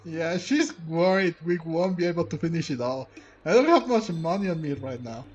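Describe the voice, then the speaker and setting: A man's voice: fairly high pitch, normal speed, soft background music. A man doing casual, playful let's-play commentary, reacting aloud and reading game dialogue. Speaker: game streamer.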